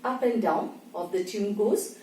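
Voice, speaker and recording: A woman's voice: mid-range voice, a little slow, mellow, a little hiss or hum. A woman with an Indian accent teaching in an emphatic, instructive manner, often posing questions and answering them herself. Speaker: voice and speech teacher. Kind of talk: spoken tutorial with acted examples. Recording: echoey room, distant microphone.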